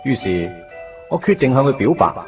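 Man acting a lovesick Cantonese schoolboy, animated delivery. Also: mid-range voice, normal speed, natural voice. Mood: troubled, earnest. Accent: Hong Kong Cantonese. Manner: colloquial, confiding, wry. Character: lovesick teenage schoolboy, self-deprecating, wistfully narrating first love. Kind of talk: radio drama narration and dialogue. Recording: dull lo-fi radio recording with music.

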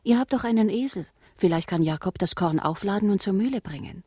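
Eine Schauspielerin spielt in einem Hörspiel eine kokette, verführerische verheiratete Dorffrau, hauchig und schmeichelnd. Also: female voice, mid-range voice, animated, brisk, dark tone, slightly rough, dry recording, studio quality. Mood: friendly pleading. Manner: coaxing, coy. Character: coy, seductive married village woman. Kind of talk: German radio play dialogue.

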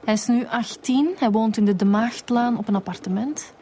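A woman's voice: a little high, normal speed, mellow, slight background noise, natural voice. A woman playing a grumbling, no-nonsense police inspector in a radio drama, blunt and colloquial with a Flemish accent. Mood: brisk, informative.

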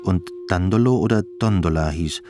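A man's voice: deep voice, moderate pace. A man narrating a radio drama in a scripted literary style. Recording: music behind the voice. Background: music.

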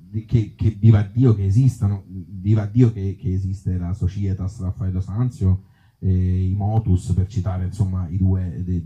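A man's voice: low-pitched, mellow, dull audio. A middle-aged man with a Tuscan accent talking off the cuff to a live audience as an interviewed actor, colloquial and wry, with some mild swearing. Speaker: actor and author being interviewed. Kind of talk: spontaneous talk to a live audience.

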